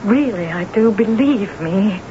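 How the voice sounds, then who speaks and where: An actress playing an imperious, brittle, self-absorbed lady novelist and wife in a radio drama, with a theatrical, high-strung delivery. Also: animated, measured pace, warm tone, lightly acted. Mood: anxious, desperate. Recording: muffled, noisy vintage radio broadcast.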